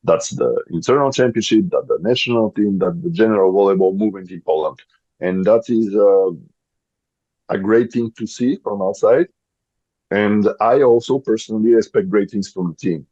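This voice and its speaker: A man in his thirties with a Bulgarian accent, a podcast guest speaking English as a second language and often pausing to search for words. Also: on the low side, warm tone, slightly rough, no echo, lo-fi sound. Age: 30s. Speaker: guest, volleyball player. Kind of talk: podcast interview.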